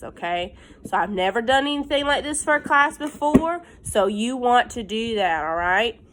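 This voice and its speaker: A woman with a fairly high voice speaks as an elementary teacher to young children. Her pace is unhurried, and she sounds slightly stuffed up. She reads aloud and explains in simple words, often checking that the listeners follow. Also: a bit bright. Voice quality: congested from pollen.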